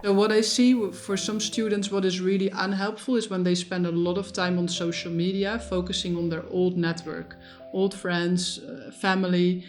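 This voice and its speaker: A woman counselor explains advice in a measured, steady way. Her voice sits low and stays flat. Soft music plays behind her. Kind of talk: advisory interview.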